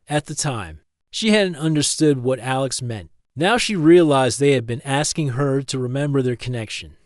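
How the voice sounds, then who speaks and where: A man reading a scripted story as an audiobook-style narrator, in a measured, dramatic storytelling manner. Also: medium pitch, dry recording, clean studio sound.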